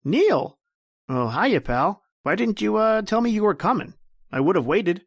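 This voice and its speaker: Man acting a high-voiced, slippery salesman pal. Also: very animated, measured pace, vocal fry, no room sound, clean studio sound, heavily acted. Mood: breezy. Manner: breezy, evasive, slangy. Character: slippery, wheedling young male salesman pal. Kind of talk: old-time radio drama acting.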